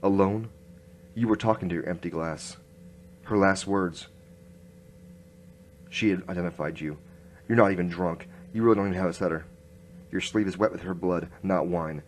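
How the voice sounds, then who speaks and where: Guy doing a low grim noir detective telling his story, terse, some background noise. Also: normal speed, long pauses, natural voice. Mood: accusing. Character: hard-boiled noir male police inspector narrating his case. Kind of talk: noir radio drama narration.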